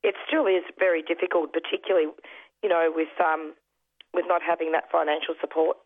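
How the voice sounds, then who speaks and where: A woman radio host with a slightly lost, worn voice, pitched fairly low. She talks earnestly, often restarting her phrases. The sound is thin and phone-like. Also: steady tone, a little fast. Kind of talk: community radio interview. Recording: tinny phone-like radio audio.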